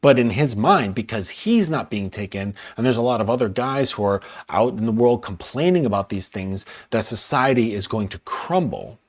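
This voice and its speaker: A man's voice: medium pitch, expressive, normal speed, hardly any pauses. A man hosting a reaction commentary, blunt, sarcastic and profane as he picks apart a video. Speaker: dating coach and channel host.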